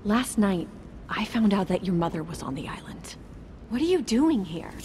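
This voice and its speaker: A woman's voice: mid-range voice, big pitch swings, normal speed, a little mellow, slight background noise, lightly acted. An actress playing a wounded, distrustful young woman in a video game drama, speaking in an intense, bitter and guarded manner. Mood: probing.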